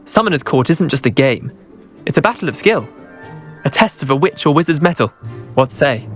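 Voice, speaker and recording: A man's voice: on the high side, animated, moderate pace, faint music behind, natural voice. A man playing a competitive, cocky teenage wizard in a video game, with a British accent and a declamatory manner. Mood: cocky, challenging. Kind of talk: video game cutscene acting.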